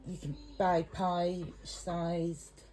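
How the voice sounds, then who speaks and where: A woman's voice: lowish voice, level tone, very slow, dark tone. A middle-aged English woman, a home cook, talking in a rambling, chatty, self-conscious way in her kitchen. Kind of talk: casual cooking vlog. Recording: noisy home recording.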